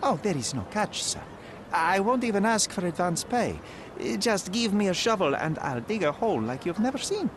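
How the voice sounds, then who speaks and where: Sly young detective. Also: male voice, high voice, sing-song, background noise. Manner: polite, teasing, quick-witted. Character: young male detective in disguise, sly and clever. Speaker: lead character. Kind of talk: video game voice acting.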